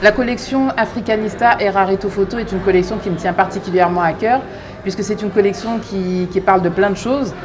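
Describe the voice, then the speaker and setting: A woman in her thirties from France, a clothing brand founder, talking through her story thoughtfully with many pauses and restarts. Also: mid-range voice. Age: 30s. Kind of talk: interview monologue.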